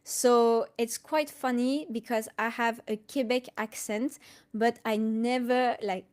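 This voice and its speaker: A woman from France with a French accent, answering questions casually on a live stream and haltingly thinking aloud as she goes. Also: a little high, fairly even intonation, a little mellow, clean tone. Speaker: colorist hosting a live Q&A.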